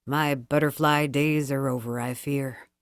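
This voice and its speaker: A woman voicing a calm, well-bred younger man with a light, gentlemanly manner in a storybook reading. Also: rumbling low, a little slow, no room sound, studio quality. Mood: light, rueful.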